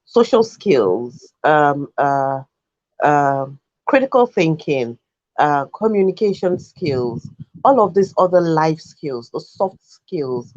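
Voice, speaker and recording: A man's voice: on the high side, dry recording, muffled recording. A man, a Yoruba speaker, making earnest, emphatic points as an analyst on an education show. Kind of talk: studio discussion commentary.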